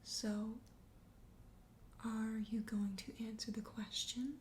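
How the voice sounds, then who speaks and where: A woman voicing a teasing, flirtatious, self-assured vampire host in a soft, breathy ASMR whisper. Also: on the high side, level tone. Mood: teasing, menacing. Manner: teasing, slow, coaxing. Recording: roomy echo, faint background noise.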